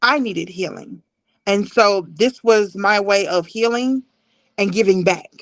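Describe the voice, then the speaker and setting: A woman in her thirties telling a personal story as an interview guest on a video call, deliberate and emphatic, repeating phrases. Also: mid-range voice, fairly bright tone, no room sound, low-quality recording.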